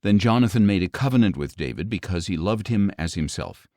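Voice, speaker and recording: Low-voiced man narrating scripture expressively. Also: animated, brisk, darkish tone, no room sound, clean studio sound. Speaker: audiobook narrator.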